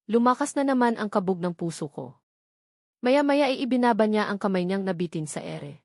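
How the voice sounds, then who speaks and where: A woman's voice: medium pitch, animated, normal speed, no echo, studio quality. A woman reading a dramatic first-person story as an audiobook narrator, in a scripted style.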